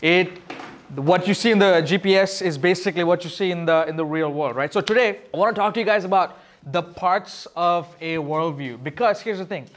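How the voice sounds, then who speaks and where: Higher-voiced man teaching informally. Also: a little fast, a bit bright. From Sri Lanka. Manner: informal, question-driven explaining. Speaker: youth pastor teaching a class. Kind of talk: casual interactive teaching talk.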